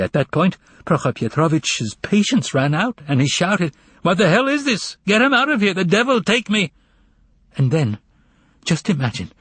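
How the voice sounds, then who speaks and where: A frantic young secretary in a storybook reading, her soft voice gone a little nasal from crying, as a male narrator puts her on. She runs on breathlessly through her tears, her pitch raised well above his own and leaping about. The voice is faintly husky and dry, with no room around it. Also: no room sound. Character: distraught young woman secretary, tearful and frantic. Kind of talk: audiobook character voice.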